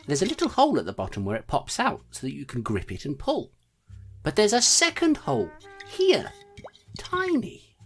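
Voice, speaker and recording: A woman's voice: deep voice, huge pitch swings, normal speed, faint music behind. A woman playing a determined constable who talks bluntly and reasons things out aloud.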